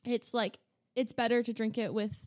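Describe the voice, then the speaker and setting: A woman co-hosting a podcast in relaxed, rambling morning chat, still a little groggy and full of filler words. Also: fairly high pitch, moderate pace, no echo, studio quality. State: just waking up, not yet clear-headed. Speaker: podcast co-host. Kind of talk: casual chat.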